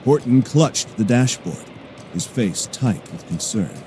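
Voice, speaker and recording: A man's voice: mid-range voice, lively intonation, measured pace, mellow, a little hiss or hum. A man narrating an action audio drama from a script in a dramatic storytelling style.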